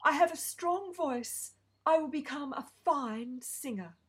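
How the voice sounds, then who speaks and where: A hopeful, boisterous donkey in a children's story, voiced by a woman. He calls out loudly in a raised, bright voice with a lively lilt. His words come slowly and a little breathily. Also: sits high, pitched above the performer's own voice. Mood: eager. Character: male donkey, hopeful and eager.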